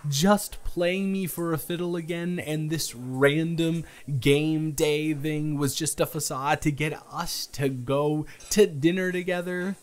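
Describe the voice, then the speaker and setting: A man's voice: fairly high pitch, fairly even intonation, slow pace, few pauses. A man acting out a playful, flustered young guy on a first date in an improvised audio roleplay, teasing and stammering with mock indignation. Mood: teasing suspicion. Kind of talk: improvised romantic audio roleplay, casual chat.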